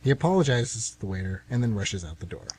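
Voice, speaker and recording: A man's voice: lowish voice, sing-song, fast, a little mellow, a little hiss or hum. A man narrating and announcing a scripted radio theatre play.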